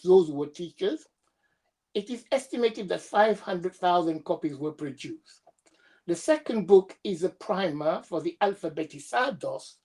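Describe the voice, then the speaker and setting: A young woman with a cold, presenting her doctoral research over a video call in a steady, measured reading voice with small hesitations. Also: lowish voice. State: has a cold. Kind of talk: reading a prepared academic presentation.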